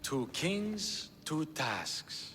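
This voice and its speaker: An actor playing a shrewd, deliberate Spartan king who passes judgment with calm authority in a video game. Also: on the high side, exaggerated voice. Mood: dry, commanding. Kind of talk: dramatic video game acting. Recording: reverberant hall with ambient noise.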